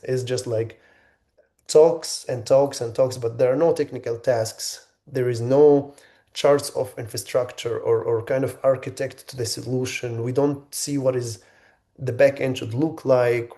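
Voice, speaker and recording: Man from egypt, webinar host, mellow, halting delivery. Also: medium pitch. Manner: halting, many restarts and fillers. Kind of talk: webinar presentation.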